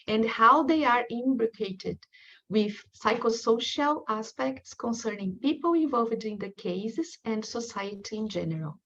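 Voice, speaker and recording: A woman from Brazil with a strong Brazilian accent, a Portuguese speaker giving a prepared academic lecture in a careful, measured way with frequent ums. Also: on the high side, a bit bright, highs cut off. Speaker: guest lecturer.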